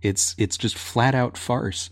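A man chatting casually on a panel, telling stories in an animated way and acting out people's reactions. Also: medium pitch, slow pace, dry recording, clean studio sound. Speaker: podcast panelist and radio drama writer.

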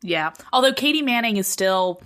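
A woman's voice: mid-range voice, lively intonation, normal speed, fairly bright tone. A woman co-hosting a casual panel chat, talking in an emphatic, conversational way. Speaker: podcast co-host.

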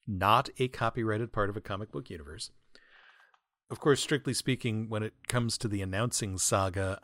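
A man hosting a comedy podcast in a wry monologue, deadpan and mock-grandiose, with florid, wordy phrasing. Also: mid-range voice, no room sound, clean studio sound. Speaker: podcast host, writer and audio editor. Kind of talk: wry comedic monologue, answering listener mail.

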